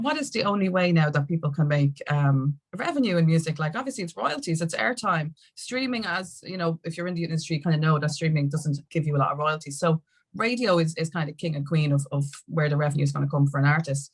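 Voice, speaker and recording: An Irish woman telling her story as a podcast guest in a chatty, informal way. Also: low voice. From Ireland. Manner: chatty and informal, with mild swearing. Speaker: podcast guest, music consultant and campaigner. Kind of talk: casual chat, personal storytelling.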